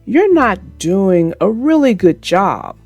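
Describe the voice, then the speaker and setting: A woman's voice: medium pitch, very animated, slow pace, darkish tone, dry recording, highs cut off, soft background music, heavily acted. A woman voicing a brainy know-it-all schoolboy in a children's storybook, precise and instructive. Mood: smug, instructive.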